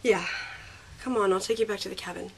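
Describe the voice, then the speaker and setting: A woman's voice: medium pitch, a little fast, vocal fry, slightly rough, poor audio. An amateur actress playing a worried, caring young woman in an audio drama. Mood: concerned. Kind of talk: fan audio drama acting.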